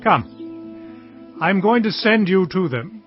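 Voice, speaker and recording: A man's voice: high voice, lively intonation, takes it slowly. An actor playing an elderly patriarch, a stern yet loving father, with emphatic, emotive delivery in a dramatized scripture reading. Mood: fatherly instruction. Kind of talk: dramatized scripture audio acting. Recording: dull audio over background music.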